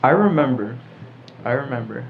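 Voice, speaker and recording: A teenage boy in casual chat, explaining his ideas slowly and deliberately. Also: medium pitch, lively intonation. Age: teens. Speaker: teen panelist. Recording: dull lo-fi sound with faint music.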